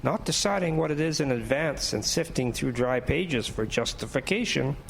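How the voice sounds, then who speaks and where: A man playing a minister in an audio drama, deliberate and wry, with a preacherly, gently provoking way of talking. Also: medium pitch, expressive, gravelly, slight background noise, poor audio. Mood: solemn. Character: measured, gently provoking male minister, solemnly philosophical. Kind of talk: scripted audio drama acting.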